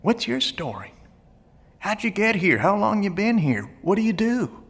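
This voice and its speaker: Guy doing a gravelly survivalist in an audio drama, highish pitch, folksy and blunt, plain talker. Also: sing-song, normal speed, a bit bright, exaggerated voice. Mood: blunt, curious. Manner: folksy, colloquial, plain-spoken. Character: folksy male survivalist, suspicious of authority, blunt and friendly. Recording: long echoey reverb of an empty hall.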